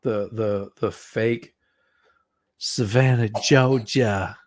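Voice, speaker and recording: An animated man with a gentle Southern American accent. He chats casually with friends on a stream, laughs easily and often restarts his sentences mid-thought. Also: lowish voice, very slow, no echo. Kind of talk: casual chat.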